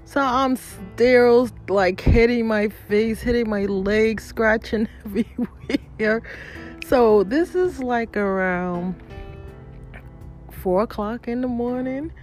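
A woman's voice: fairly high pitch, takes it slowly, faint music behind. A woman hosting a podcast, telling a personal story in a casual, colloquial way and acting out what people said. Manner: animated retelling, acts out quoted dialogue; colloquial, informal grammar. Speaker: podcast host.

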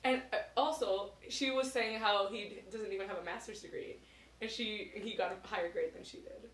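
A woman's voice: mid-range voice, steady tone, fairly quick, a bit bright, roomy sound, slight background noise, low-quality recording. A young woman guest on a podcast, giggly and hesitant as she tells a story. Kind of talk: casual chat.

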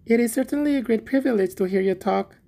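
A man's voice: very high-pitched, fast talker, creaky, mellow, pitched above the performer's own voice. A man voicing a timid, naive little gardener in a storybook, shy and eager to please. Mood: humble, admiring. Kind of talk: storybook character voice.

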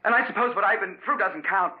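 An actor playing an unhinged, coldly polite murderous husband and obsessive suitor in an old-time radio thriller, halting and full of menace. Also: male voice, sits high, husky. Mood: bitter, obsessive. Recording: tinny, noisy vintage radio recording.

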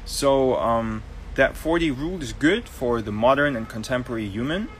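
A man's voice: medium pitch, moderate pace, a little hiss or hum. Chatty man answering questions. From Germany. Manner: chatty, explanatory, many ums and restarts. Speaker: host. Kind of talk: casual live Q&A, answering viewer questions.

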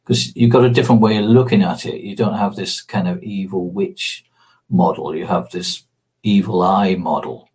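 A man's voice: low voice, moderate pace, a little mellow, breathy edge. A man in an informal discussion, explaining history as a guest in a hesitant way, often rephrasing as he goes. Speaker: interview guest on magic and ancient religion.

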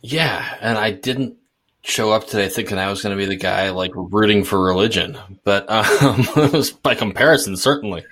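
A man's voice: on the low side, moderate pace, a bit bright. A man from suburban Boston, co-hosting a podcast, talking things through thoughtfully and with many restarts. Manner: thoughtful, hesitant, restarts often. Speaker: podcast co-host. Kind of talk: casual discussion.

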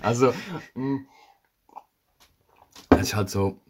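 A man's voice: medium pitch, slow pace. A young man with a Swiss German accent chatting casually as a podcast co-host, rambling, searching for words and laughing often. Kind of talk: casual chat.

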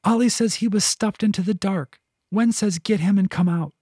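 A man's voice: high voice, normal speed, dark tone, husky, no room sound, clean studio sound, pitched above the performer's own voice. A man voicing a small cat that speaks in short, clipped, urgent phrases. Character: small cat sending thoughts, terse and nervous.

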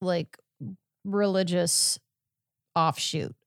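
A woman's voice: lowish voice, steady tone, gravelly, no room sound. Casual woman podcaster, close dry sound. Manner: informal, filler-heavy, halting delivery. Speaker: podcast host. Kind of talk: casual chat recounting a court case.

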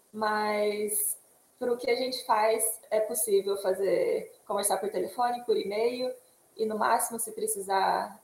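A woman's voice: mid-range voice, gravelly, echoey room, slight background noise, poor audio. A woman from Brazil, a guest in a casual interview on a video call, speaking in an easygoing way and laughing often between sentences.

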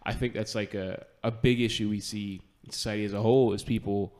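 A man's voice: fairly low pitch, steady tone, brisk, a little mellow. A man in his twenties hosting a casual podcast, rambling and laughing, often asking "you know what I mean". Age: 20s. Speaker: podcast host.

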